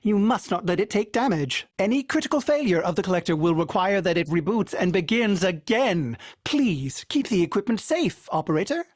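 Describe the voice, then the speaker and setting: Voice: medium pitch, animated, moderate pace, few pauses, no room sound, clean studio sound, lightly acted. An actor voicing a cheerful, fussy male ship AI who speaks formally, calls himself by name and stutters with glitchy repeats. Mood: fretful. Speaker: ship companion AI. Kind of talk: video game voice lines.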